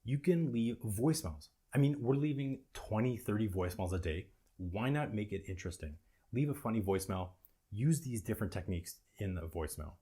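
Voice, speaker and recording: Low-pitched, husky man giving instruction. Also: lively intonation, brisk, warm tone. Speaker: presentation skills coach and video host. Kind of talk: instructional talk to camera.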